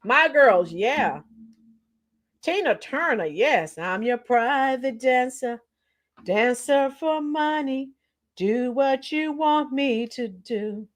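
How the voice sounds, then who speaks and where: A middle-aged woman with a hoarse, tired voice chatting casually with livestream viewers, reading out comments and singing bits of songs. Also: high-pitched, animated, takes it slowly. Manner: chatty, reads out comments and sings along to songs. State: hoarse, losing her voice. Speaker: livestream host.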